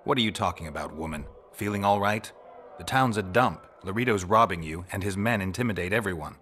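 A low, weary monster hunter for a fantasy video game. He is gruff and blunt, speaking in short, flat demands with a deep pitch. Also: fairly quick. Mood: blunt, indignant.

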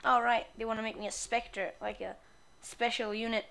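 A woman's voice: a little high, little pitch movement, normal speed, creaky, a bit bright. A woman giving casual, irreverent video game commentary, playfully muttering asides as she plays.

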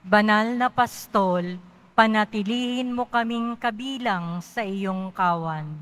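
A woman's voice: mid-range voice, takes it slowly, fairly bright tone. Firm woman leading mass responses. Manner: firm, formal announcing. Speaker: mass commentator.

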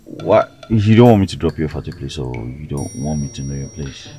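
Courteous male date: extremely deep, varied intonation, music behind. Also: lively intonation, a little fast. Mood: puzzled. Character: courteous, questioning man seeing his date home. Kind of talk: naturalistic film acting.

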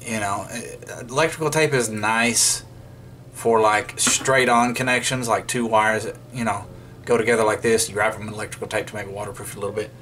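A man's voice: fairly low pitch, fairly even intonation, brisk, sharp tone, a little hiss or hum. A man giving casual, unscripted narration of hands-on repair work in a folksy way, thinking aloud. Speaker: vlogger demonstrating radio repair. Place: indoors at a wooden workbench.

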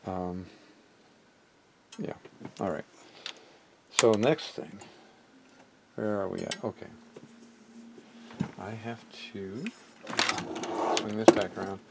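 A man narrating a hands-on repair casually, haltingly thinking aloud as he works. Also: fairly low pitch, steady tone, creaky, background noise. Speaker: repair hobbyist and video maker. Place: indoor cluttered electronics workbench.

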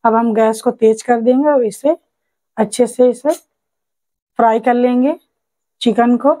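A woman's voice: fairly high pitch, steady tone, measured pace, mellow, no room sound, low-quality recording. A woman hosting a cooking channel, patiently walking viewers through a recipe step by step and often calling them friends. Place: home kitchen.

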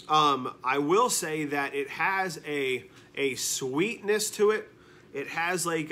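A man's voice: a little high, fairly bright tone. Congested, nasal man reviewing beard oil. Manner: enthusiastic, repeats words for emphasis. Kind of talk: casual product review. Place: living room, seated on a couch.

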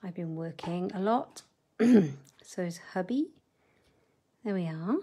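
A woman's voice: on the low side, expressive, takes it slowly, warm tone, breathy edge. A middle-aged woman hosting a live crafting demo from her desk at home, chatting with viewers and muttering asides as she works. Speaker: papercraft host.

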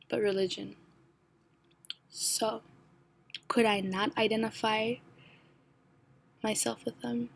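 A young woman with an American accent reads her personal story close to the mic. Her voice is husky and breathy, often dropping to a near whisper, and her reflective delivery carries dry, ironic asides. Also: mid-range voice, a bit bright. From born in Somalia, raised in the United States. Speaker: narrator of her own digital story. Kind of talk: read personal narration.

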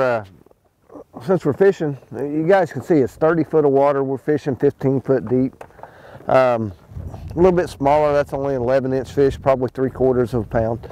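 Middle-aged male angler, outdoors on a lake boat, relaxed instructional talk with frequent fillers. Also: medium pitch, a little hiss or hum, rough recording. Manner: relaxed, explains as he fishes, many ums and restarts. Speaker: fishing pro. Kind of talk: casual how-to fishing talk.

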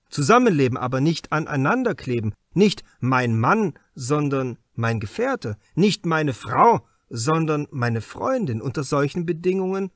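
A man's voice: fairly high pitch, no room sound, clean studio sound. A man voicing an idealistic, embittered writer in an audiobook, speaking in an earnest, opinionated way. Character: idealistic, embittered young male writer under suspicion. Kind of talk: audiobook character dialogue.

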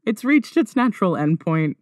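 A woman's voice: medium pitch, very animated, normal speed, dry recording, studio quality. A woman hosting a podcast solo in a casual, conversational way, wry and self-deprecating. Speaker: podcast host. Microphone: close podcast microphone.

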